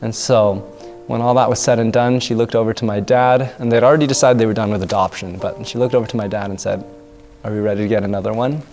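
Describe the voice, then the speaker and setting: A young man in his twenties, born in northern China, speaking softly and reflectively about his adoption. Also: on the low side, fairly even intonation, fast, soft background music. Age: 20s. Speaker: interviewee. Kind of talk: personal testimony.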